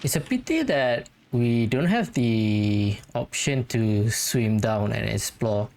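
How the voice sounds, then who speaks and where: A video game host giving casual commentary and thinking out loud while playing. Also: mid-range voice, lively intonation, takes it slowly, slight background noise.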